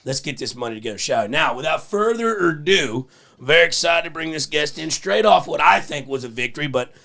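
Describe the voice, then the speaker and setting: A man hosting a podcast, joking crudely and loudly with his guests and reading sponsor plugs. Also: a little high, expressive, a little fast, gravelly. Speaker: podcast host. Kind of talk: crude casual podcast banter.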